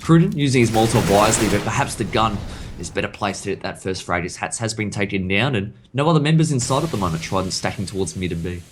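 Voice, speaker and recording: A man casting an esports match live, his voice croaky, calling the action and breaking it down in detail. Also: fairly low pitch, lively intonation, background noise. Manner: rapid, analytical play-by-play. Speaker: esports caster.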